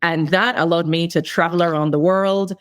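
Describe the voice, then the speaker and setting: A woman's voice: lowish voice, fairly even intonation, moderate pace, dry recording, studio quality. A woman from Jamaica, a managing director, recounting her career path in an interview. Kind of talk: podcast interview.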